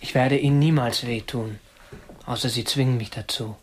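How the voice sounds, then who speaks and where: A man's voice: medium pitch, steady tone, normal speed, slight background noise, natural voice. An actor in a radio drama playing a prim, obsessive young man who keeps a woman captive, speaking in a stiff, controlled way. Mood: stiff, guarded. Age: 20s. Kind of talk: radio drama narration and dialogue.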